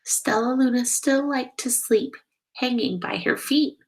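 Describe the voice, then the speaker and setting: A woman reading a children's picture book aloud, expressive and slow, stopping to ask the listeners questions. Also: sits high, poor audio. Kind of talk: children's read-aloud narration.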